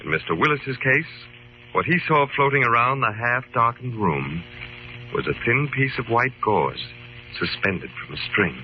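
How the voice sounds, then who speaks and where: A man acts out a calm, authoritative hero leading a mystery club. His delivery is formal and measured but very expressive, in old radio drama style. The broadcast is muffled with a light hiss. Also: medium pitch, close to an everyday voice. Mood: authoritative, explaining. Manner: formal, measured, clearly enunciated. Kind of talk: 1940s-50s radio drama acting and narration.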